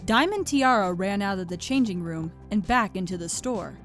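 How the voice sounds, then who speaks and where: A woman narrates a scripted story in a medium-pitched voice. She reads as a storybook narrator, with music playing quietly underneath. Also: lively intonation, normal speed.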